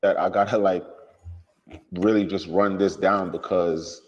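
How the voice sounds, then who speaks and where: A man speaking in a measured, calm and deliberate way in a recorded interview. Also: sits low, muffled recording. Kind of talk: recorded interview, speaking about his family. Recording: clip with long room reverb.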